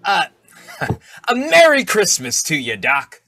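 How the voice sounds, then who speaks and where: An actor playing a meek, grateful street drunk in a radio play, halting and bashful with a husky voice. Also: male voice, high voice, wildly swooping pitch, sharp tone, soft background music, heavily acted. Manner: halting, hesitant.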